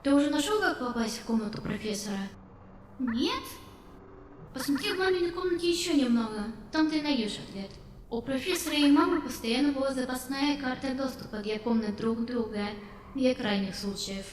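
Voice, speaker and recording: A woman voicing a young robot girl in a game, polite and wistful, speaking in a childlike, gently confiding manner. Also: high-pitched, animated, moderate pace. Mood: chatty, friendly. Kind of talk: game character dialogue dub. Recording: roomy long reverb, distant, faint noise.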